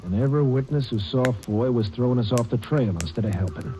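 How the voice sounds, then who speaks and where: An actor playing an eager ranger partner in a vintage radio drama. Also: male voice, mid-range voice, a little fast, dark tone, lightly acted. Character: sidekick lawman, eager and helpful. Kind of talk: 1950s radio drama acting. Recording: dull old radio recording with hiss.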